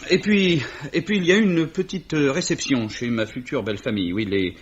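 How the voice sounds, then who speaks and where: A polite young office clerk acted by a man in a vintage French radio drama. He is earnest and a little hesitant, with a wry, stubborn streak and a mid-pitched voice. The sound is dull and muffled. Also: moderate pace, natural voice. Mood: earnest, defensive. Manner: courteous, hesitant, mildly wry. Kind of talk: 1950s French radio mystery acting.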